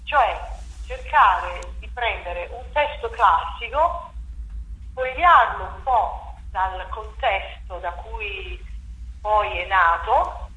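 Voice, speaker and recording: A woman's voice: medium pitch, drawn-out words, sharp tone. A woman playwright and theatre director explaining her stage work in an interview, thinking aloud with frequent fillers and restarts. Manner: reflective, many fillers and restarts. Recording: echoey room with faint noise.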